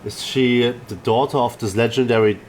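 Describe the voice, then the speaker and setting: A man's voice: medium pitch, fairly even intonation, normal speed, a little hiss or hum. A man giving casual let's-play commentary, earnestly talking back to game characters. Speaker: gamer playing and reacting.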